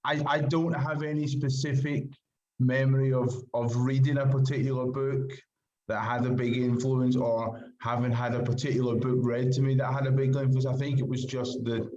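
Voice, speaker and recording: A man's voice: medium pitch, flat delivery, moderate pace, a little mellow. Scottish man on dull, lo-fi audio. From Glasgow, Scotland. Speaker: interview guest, writer. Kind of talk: casual interview answers.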